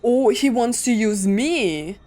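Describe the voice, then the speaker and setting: A woman's voice: on the high side, animated, slow, mellow. A woman streaming a video game with casual playthrough commentary, sometimes reading on-screen lines aloud.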